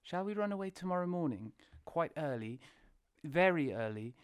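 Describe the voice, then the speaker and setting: A man voicing a gentle, timid mole in a storybook reading, soft and pleading. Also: on the high side, sing-song, normal speed, clear, resonant tone, no room sound, clean studio sound, voice pushed up. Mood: wistful.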